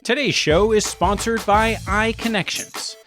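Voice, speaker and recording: Measured male podcast host over music. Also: sits high, fairly even intonation, sharp tone. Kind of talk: scripted intro and ad reads, then interview questions.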